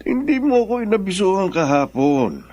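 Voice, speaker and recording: A man acting in a Filipino radio drama as a smooth-talking, insistent older businessman father. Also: high voice, very animated, moderate pace, darkish tone, dull audio. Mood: affectionate, placating.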